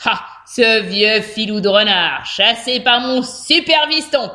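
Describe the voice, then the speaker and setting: A woman storyteller gives voice to a storybook hamster father. He is stern and paternal, speaking to his son with expressive, varied pitch. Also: normal speed, roomy sound. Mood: proud. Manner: sighing, paternal.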